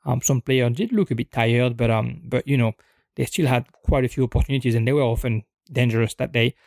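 A young man talks football in an unscripted podcast, pausing often with ums and restarts as he thinks aloud. His voice has a gravelly, creaky edge at a middle pitch, recorded dry and close with no room sound. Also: darkish tone, no echo. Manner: hesitant, many ums and restarts, thoughtful.

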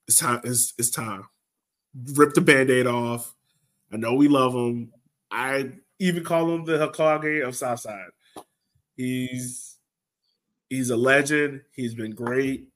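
A man's voice: mid-range voice, steady tone, takes it slowly, long pauses, a bit bright. An American man hosting a casual sports podcast, speaking colloquially and repeating phrases for emphasis. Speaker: podcast host.

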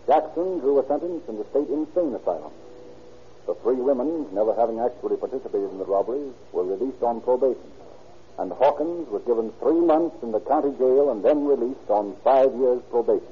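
A man's voice: medium pitch, expressive, fairly quick. A man narrating and announcing in the formal, declamatory, scripted style of 1930s radio. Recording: tinny, slightly noisy old radio recording.

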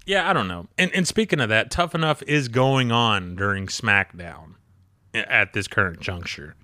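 Bright, lively man in his thirties. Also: fairly low pitch, moderate pace, no room sound. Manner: animated, laughs often, quotes people in voices. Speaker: podcast co-host. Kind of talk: casual wrestling chat.

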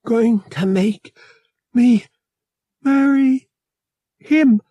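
A man's voice: very high voice, drawn-out words, dark tone, no room sound, studio quality, voice pushed up, heavily acted. A man voicing an anxious young woman in an audiobook, gasping her words breathlessly in short bursts. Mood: breathless distress. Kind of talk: audiobook character acting.

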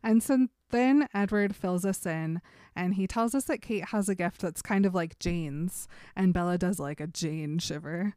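Young woman podcast co-host, smooth voice, dry booth sound, breezy storyteller who slips into quick quoted voices. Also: medium pitch, no room sound. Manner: retells scenes with quick put-on quotes, laughing often. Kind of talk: casual book discussion chat.

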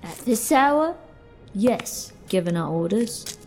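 Policewoman played by a woman, audio drama: official, no-nonsense, medium pitch, light music underneath. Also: very animated, slow. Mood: brisk, official. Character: brisk, no-nonsense policewoman guarding a crime scene.

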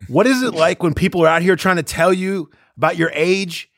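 A man's voice: high voice, steady tone, a little fast, fairly bright tone, no echo, clean studio sound. A man in his forties doing a casual, conversational sports interview as the interviewer. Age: 40s.

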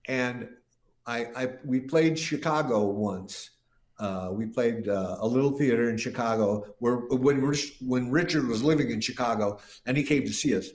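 Older man, interview guest, rambling anecdotes, restarts sentences, mid-pitched voice, roomy echo. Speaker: guest musician. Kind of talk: casual interview storytelling.

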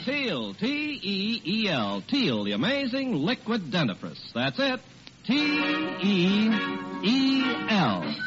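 High-pitched vintage radio announcer, a man. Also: wildly swooping pitch, slow pace. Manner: emphatic, spells out words. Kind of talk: 1940s radio announcing, scripted sales pitch and narration. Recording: muffled old radio recording with music.

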